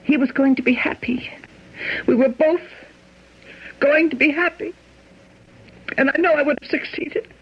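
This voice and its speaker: A woman's voice: sits high, measured pace, pauses often. A woman playing a devoted, grieving wife in a radio mystery, genteel and emotional, stubbornly refusing to give up hope. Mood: wistful, anguished. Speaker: radio drama actor. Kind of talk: old-time radio mystery acting. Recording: muffled old radio drama recording.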